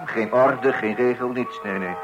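A man's voice: medium pitch, fairly even intonation, a little slow, highs cut off, music in the background, natural voice. An actor playing a pompous, orderly married man with strict old-fashioned views in a radio play, tutting and repeating himself. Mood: pompous disapproval. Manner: pompous, opinionated, with tutting repetitions.

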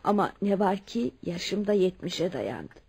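An actress playing a wealthy, kindly widow near seventy in a Turkish radio drama, frank and dignified. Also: female voice, medium pitch, very animated, unhurried, gravelly, a little mellow, breathy edge, exaggerated voice. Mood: earnest, resolute. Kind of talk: Turkish radio theatre acting.

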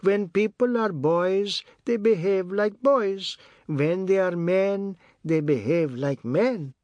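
Audiobook role: old matriarch, high-pitched, dragging her words plaintively, meek yet pleased with herself. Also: darkish tone, no room sound, highs cut off. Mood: plaintive, resigned. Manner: drawn-out, plaintive delivery. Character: slow, groaning, sighing old matriarch, meek and self-satisfied.

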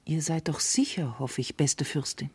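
An actress playing a loyal, sensible confidante in a classical German verse radio play, measured, deferential and reassuring. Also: female voice, sits low, a little mellow, husky, no room sound, heavily acted. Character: loyal female confidante soothing her princess, sensible, reassuring.

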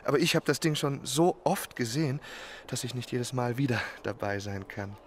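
An actor in a German radio drama playing a lovesick, spoiled young French marquis who talks in an affected, confiding way. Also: male voice, mid-range voice, animated, normal speed, a little hiss or hum, close to an everyday voice. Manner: affected, florid, confiding speech.

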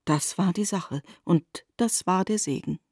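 A woman narrating literary prose in a measured, formal manner, as in a scripted radio production. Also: lowish voice, darkish tone, breathy edge, no echo, studio quality.

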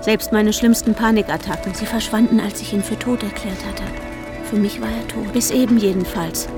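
A woman's voice: mid-range voice, steady tone, moderate pace, close to an everyday voice. An actress playing an anxious, panic-prone woman narrating her inner turmoil in a German radio drama, often dropping into whispers. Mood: anxious, brooding. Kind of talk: German radio drama, intimate first-person inner monologue. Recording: voice over prominent music.

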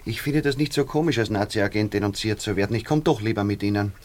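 A man's voice: on the low side, quick pace, a little mellow, close to an everyday voice. An actor with a Viennese accent playing a worried, gossipy and practical working man in a radio drama, grumbling colloquially. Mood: worried, urging. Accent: Austrian, Viennese.

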